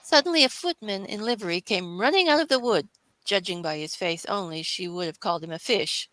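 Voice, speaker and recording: A woman's voice: medium pitch, animated, a little fast, sharp tone, dry recording, poor audio. A woman reading scripted storybook narration as the narrator of a classic tale.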